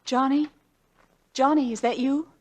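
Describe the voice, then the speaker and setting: A young wife in a vintage radio play. Her voice sits high, earnest and encouraging, as she coaxes with devotion. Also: steady tone, unhurried, a little mellow. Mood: gentle, concerned. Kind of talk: 1940s radio drama acting. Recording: vintage 1940s radio broadcast.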